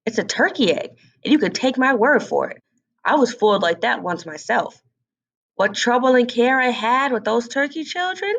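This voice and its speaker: Old lady duck, read by a woman for a storybook: know-it-all, gossipy, very animated pitch, muffled recording. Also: moderate pace. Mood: insistent. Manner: insistent, gossipy, matter-of-fact.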